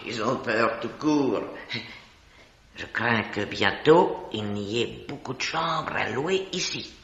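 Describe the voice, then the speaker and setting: An actor playing an anxious hotel employee in a 1950s French radio mystery, speaking in a hesitant, confidential way. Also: male voice, mid-range voice, expressive, takes it slowly, a bit bright. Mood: worried, confiding. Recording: dull, noisy, echoey vintage radio recording.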